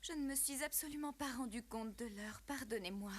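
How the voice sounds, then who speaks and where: A woman's voice: fairly high pitch, a little fast, natural voice. A woman voicing a sweet, polite young princess in a French cartoon dub. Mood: apologetic.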